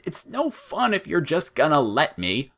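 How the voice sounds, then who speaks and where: A man's voice: a little high, huge pitch swings, unhurried, gravelly, a bit bright, voice pushed up, heavily acted. A man voicing a mocking, smirking, childish flower monster in an audio fiction story. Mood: irritated. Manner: mocking, sneering, theatrical.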